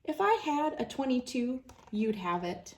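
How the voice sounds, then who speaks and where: A woman voicing a brisk but kindly female hockey coach in a storybook, with a matter-of-fact, announcing manner. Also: high-pitched, animated, darkish tone, distant mic, pitched above the performer's own voice.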